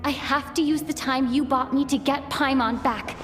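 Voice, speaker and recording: Woman playing young adventurer heroine, high youthful voice, earnest and urgent, game cutscene, light music behind. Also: fairly even intonation, normal speed, natural voice. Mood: worried, grateful. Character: determined young female traveler searching for her kidnapped companion. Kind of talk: video game cutscene acting.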